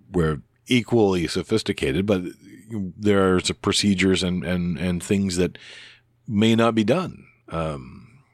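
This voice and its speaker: A man with a deep voice talking casually into a close microphone on his podcast, rambling and hesitant with many restarts and fillers. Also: dry recording. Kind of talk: casual spoken reply to another podcaster.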